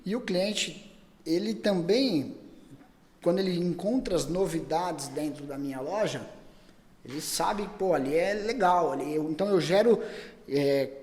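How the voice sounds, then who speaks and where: Chatty man with a slightly high voice. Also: a little mellow, long reverb tail. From Brazil. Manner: talks at length, many repeated words and restarts. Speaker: pet store chain owner, guest. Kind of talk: casual podcast conversation.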